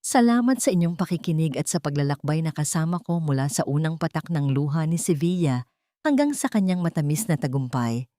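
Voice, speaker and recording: A woman narrator reading a scripted audio drama in a sentimental, measured, dramatic way. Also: low-pitched, big pitch swings, dark tone, dry recording, studio quality. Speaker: storyteller narrator.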